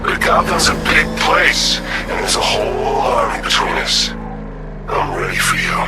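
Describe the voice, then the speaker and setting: A man's voice: wildly swooping pitch, moderate pace. A man playing an arrogant, vengeful militia commander, barking menacing orders in a superhero audio drama. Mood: defiant, taunting. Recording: loud music and effects behind. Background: loud music, explosions, vehicle rumble.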